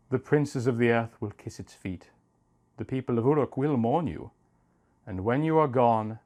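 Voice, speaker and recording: A man's voice: medium pitch, a little mellow, lightly acted. A man voicing a lofty, reproachful sun god in a stately storybook reading.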